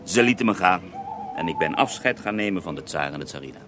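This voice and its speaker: A man's voice: deep voice, expressive, quick pace, noisy background. An actor playing a resentful Russian holy man who recounts his life in a radio drama, with a scornful, theatrical edge. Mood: wry. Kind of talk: radio drama acting, interview-like narration.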